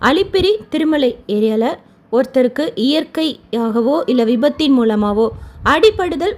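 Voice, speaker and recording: A woman's voice: high-pitched, a little mellow. A woman hosting an informal news update, informative and mixing English words into her speech. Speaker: channel host giving pilgrimage updates.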